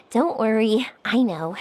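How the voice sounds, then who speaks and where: A woman playing a cheerful, sincere young woman in an anime video game, expressive and bubbly. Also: fairly high pitch, a little hiss or hum, full character voice. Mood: bright, excited. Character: cheerful, warm-hearted young woman fireworks maker. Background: music.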